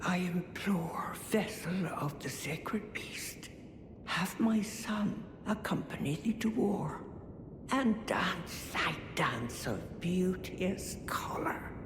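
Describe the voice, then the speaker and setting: A woman playing a devout, grieving aged crone in a fantasy game, with creaky, breathy voice and slow, ceremonious archaic speech. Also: fairly low pitch, animated, in a whisper. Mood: vengeful pleading. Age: older. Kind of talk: theatrical fantasy game acting. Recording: echoey reverberant hall, music behind.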